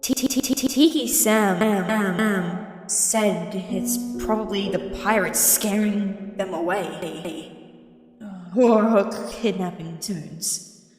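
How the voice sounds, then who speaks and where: A woman's voice: mid-range voice, slow pace, echoey room, in a large hall, soft background music, lightly acted. A woman voicing an upset animatronic female cartoon duck who stutters and repeats broken syllables. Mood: wary, sardonic.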